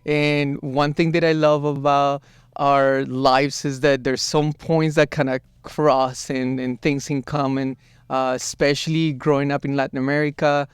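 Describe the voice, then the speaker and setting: A man from Colombia hosting an interview in a studio, asking measured, unhurried questions. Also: medium pitch, little pitch movement, smooth, no room sound. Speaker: podcast host.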